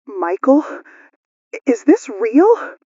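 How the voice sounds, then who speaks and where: A woman's voice: fairly high pitch, big pitch swings, very slow, dark tone, husky, no echo, muffled recording, exaggerated voice. A woman playing a frightened, urgent nurse calling in to a radio drama broadcast. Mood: panicked, urgent. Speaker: caller. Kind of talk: acted radio drama call-in.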